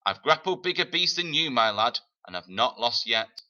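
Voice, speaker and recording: A man reading a storybook, voicing a hard-faced older woman with a gruff, firm manner. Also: medium pitch, lively intonation, moderate pace, sharp tone, highs cut off. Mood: stern. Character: sharp-tongued older woman, hard and gravelly.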